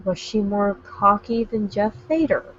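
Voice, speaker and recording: A woman banters casually on a podcast with dry teasing. She takes her time, and her pitch moves around in a lively way. The sound is somewhat dull. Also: unhurried. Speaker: podcast co-host. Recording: dull lo-fi audio.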